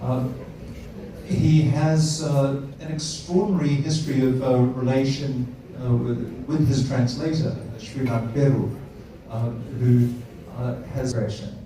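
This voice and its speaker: A man with a New Zealand accent moderating a literary panel, speaking haltingly with many filler sounds. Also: mid-range voice, steady tone, mellow. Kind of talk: hesitant spoken introduction and questioning. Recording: echoey hall, distant mic, background noise.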